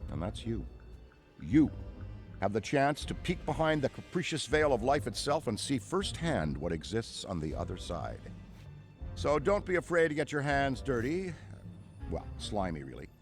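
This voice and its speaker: A man's voice: mid-range voice, big pitch swings, moderate pace, soft background music. A middle-aged man playing a nostalgic, effusive, bookish veteran ghost hunter in a game cutscene, talking in a wordy, theatrical way. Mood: chatty, reflective. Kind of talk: video game cutscene monologue.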